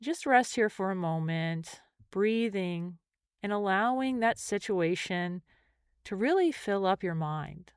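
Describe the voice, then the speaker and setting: A woman leading a guided meditation as a mindfulness teacher, speaking gently and in measured phrases with pauses. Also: medium pitch, animated, clean tone, no echo, studio quality.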